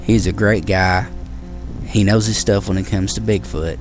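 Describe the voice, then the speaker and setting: A man's voice: fairly low pitch, fairly even intonation, moderate pace, music in the background. A man hosting his own show, talking casually to listeners in a rambling way with many fillers and restarts. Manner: friendly sign-off to listeners. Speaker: podcast host. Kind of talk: casual solo show talk.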